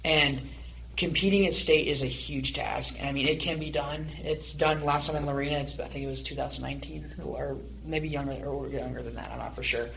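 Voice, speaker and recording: A man's voice: medium pitch, fairly even intonation, a little fast, vocal fry, a bit bright, a little hiss or hum, low-quality recording. A man hosting a conversational podcast interview, asking long, rambling questions. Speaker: podcast host.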